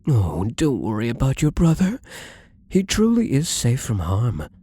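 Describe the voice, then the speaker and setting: A man's voice: mid-range voice, wildly swooping pitch, measured pace, warm tone, slightly rough, exaggerated voice. A man playing a wealthy, obsessively devoted and controlling gambler in an intimate ASMR roleplay, with doting, menacingly tender delivery and formal wording. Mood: smug, controlling.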